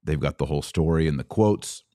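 An American man hosting a political commentary podcast, speaking in a deliberate, emphatic monologue that leans on repeated words for stress. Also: very deep voice, mellow. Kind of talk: opinion monologue to camera.

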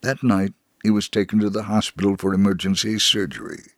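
Low-voiced man reading a dry, earnest story. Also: little pitch movement, brisk, no echo. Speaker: dramatic reader of a testimony. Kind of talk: scripted first-person story narration, dramatic reading.

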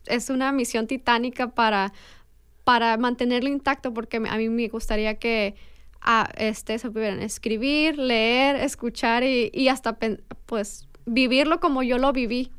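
A woman with a Mexican accent asks questions in a relaxed interview. Her voice sits slightly high and is very smooth and clean. It is recorded dry and close, with no room sound. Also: no echo. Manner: hesitant, many restarts and fillers. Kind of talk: casual interview chat.

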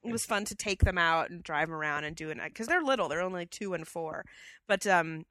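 A woman with a slight cold talks through a headset, a little scratchy, telling chatty stories full of fillers. Her voice is bright and the sound is dry and close. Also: lowish voice, a little fast, no room sound. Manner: chatty storyteller, many fillers. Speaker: podcast co-host. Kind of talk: casual chat.

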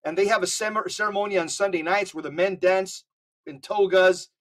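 A man speaking as a podcast guest, emphatic and animated as he tells his stories. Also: high voice, normal speed. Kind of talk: casual conversational podcast.

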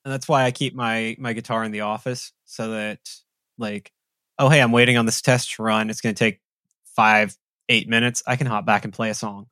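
A man's voice: on the low side, normal speed, no echo. A man co-hosting a casual podcast conversation, talking in a loose, rambling way with lots of "like" fillers. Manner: relaxed, rambling with frequent "like" fillers. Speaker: podcast co-host.

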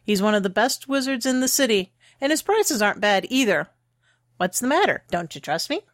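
A man's voice: very high-pitched, sing-song, fairly quick, fairly bright tone, no room sound, studio quality, voice pushed up, exaggerated voice. A man voicing a brash, confident young woman who teases and pushes ahead without hesitation. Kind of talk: audiobook character voice.

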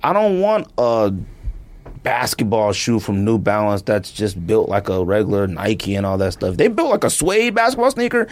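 A man hosting a laid-back sneaker podcast, his voice swinging up and down with lively pitch. He talks in an opinionated, teasing way, pushing his points hard. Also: moderate pace. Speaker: podcast host. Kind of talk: casual sneaker podcast chat.

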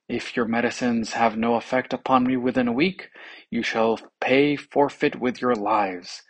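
A man voicing an ailing, wrathful old king who speaks slowly and commandingly, with a gravelly voice. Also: mid-range voice. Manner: slow commanding speech.

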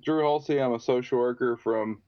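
A man's voice: mid-range voice, moderate pace, muffled recording, faint music behind. A man from the southern United States talking casually as a podcast guest, easygoing and hesitant with many ums. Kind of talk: casual interview talk.

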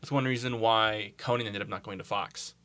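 A man with a bright, forward voice talks casually as a podcast guest. He is emphatic and opinionated, rambling through stories and swearing freely. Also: fairly low pitch, steady tone, fairly quick. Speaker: podcast guest, comics blogger.

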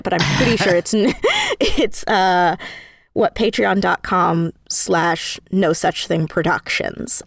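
Woman interview guest, lower-pitched voice, effusive and enthusiastic, casual chat, recorded dry and close. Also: vocal fry, no echo. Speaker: interview guest, audio drama creator.

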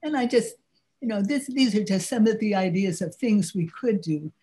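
An older woman with a low voice, speaking earnestly and at a measured pace as she delivers a religious talk. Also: expressive, warm tone.

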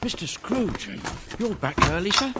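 Meek, high-voiced clerk. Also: male voice, very animated, measured pace, heavy background noise. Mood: nervous. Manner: hesitant, deferential. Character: meek, anxious, polite English clerk. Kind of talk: family radio drama acting.

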